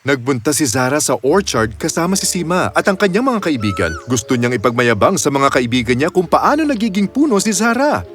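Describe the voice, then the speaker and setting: A man's voice: fairly high pitch, very animated, brisk, fairly bright tone, faint music behind. A man narrating a scripted children's fairy tale with a dramatic storytelling delivery.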